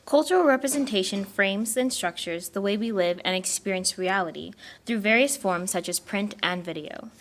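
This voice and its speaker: A young woman, a student presenter, reading a prepared talk in a steady, formal way. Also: mid-range voice, normal speed, hardly any pauses, slight background noise. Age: young adult.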